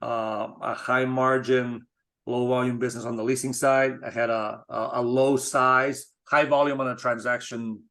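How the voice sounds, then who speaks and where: Measured middle-aged man from Spain, interview guest, even mid-range voice. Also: mid-range voice. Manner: measured, thoughtful, with frequent restarts. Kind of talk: casual interview talk.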